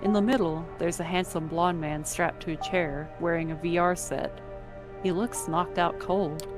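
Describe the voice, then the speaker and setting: A woman narrates an interactive story in the second person, reading from a script. Her voice sits a little low for a woman, and music plays clearly underneath. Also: fairly even intonation, normal speed.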